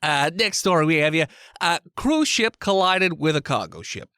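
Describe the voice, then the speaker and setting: A man's voice: a little high, sing-song, normal speed, pushed and bright, clear, resonant tone, dry recording, studio quality, full character voice. A man playing a loose, cheesy podcaster riffing casually on news stories. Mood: joking.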